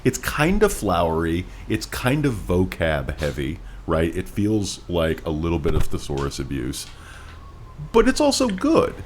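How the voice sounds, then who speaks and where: A deep-voiced man co-hosts a casual book discussion. His intonation swings widely as he lays out wordy opinions with theatrical emphasis. Clear noise sits behind his voice. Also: huge pitch swings, measured pace. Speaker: podcast co-host. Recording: noticeable background noise.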